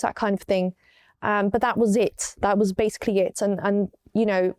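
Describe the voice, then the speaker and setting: A young woman from Wales with an English accent, unhurried and full of ums as she tells her story in an interview. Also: medium pitch, darkish tone, clear, resonant tone, no echo, studio quality. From Wales, raised in East London. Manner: unhurried, many ums and restarts. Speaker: guest.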